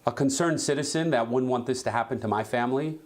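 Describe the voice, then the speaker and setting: A man's voice: medium pitch, quick pace. A man, a business owner, speaking conversationally in a news interview. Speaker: title company owner being interviewed.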